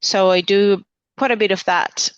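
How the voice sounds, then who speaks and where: A woman's voice: mid-range voice, steady tone, normal speed, clear, resonant tone, no room sound, dull audio. A woman in her thirties, originally from Venezuela, explaining her views earnestly in an interview and listing points with frequent restarts. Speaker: dietitian guest. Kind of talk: conversational interview answer.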